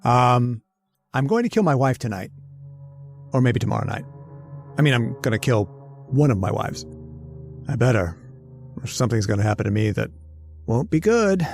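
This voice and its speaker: A man's voice: medium pitch, very animated, moderate pace, creaky, long pauses, a little mellow, soft background music. An actor playing a nervous, haunted man who narrates his story in an old-time radio horror drama, jittery and hesitant. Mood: anxious, confiding. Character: nervous, haunted, centuries-old male professor confessing a murder plan.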